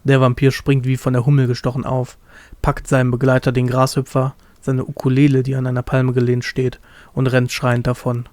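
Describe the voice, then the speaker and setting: A man's voice: mid-range voice, completely flat delivery, a little fast, darkish tone, no echo. A man reading a scripted comic story aloud as its narrator.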